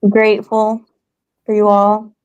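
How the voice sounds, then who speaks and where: A woman co-hosting a casual community talk show, upbeat and unhurried. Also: medium pitch, monotone, a little mellow, clean tone, dry recording, dull audio. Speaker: co-host. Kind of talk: casual chat.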